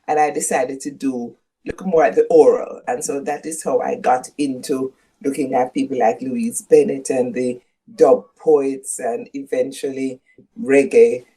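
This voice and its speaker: Older Jamaican woman, dark husky voice. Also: rumbling low, fairly even intonation, unhurried, rough recording. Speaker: guest professor. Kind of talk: reflective interview conversation.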